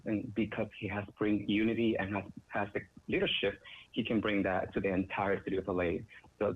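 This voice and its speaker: A man from El Salvador, calling in to give an earnest, somewhat halting public comment. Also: lowish voice. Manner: earnest, repetitive, slightly halting. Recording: tinny phone line with faint noise.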